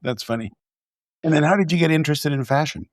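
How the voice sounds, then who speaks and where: A man from Hungary hosting a podcast interview, with an ordinary middle pitch. He talks at a brisk clip and asks curious, friendly questions. The sound is dry and close to the mic. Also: no room sound. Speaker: podcast host. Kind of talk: conversational interview.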